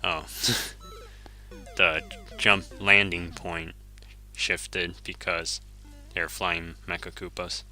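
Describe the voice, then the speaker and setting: Male game streamer, Japanese first language; deep, very bright and forceful tone, relaxed self-correcting chatter over faint game music. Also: drawn-out words, long pauses. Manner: easygoing, chuckles often, self-correcting. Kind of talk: casual gameplay commentary, reading chat.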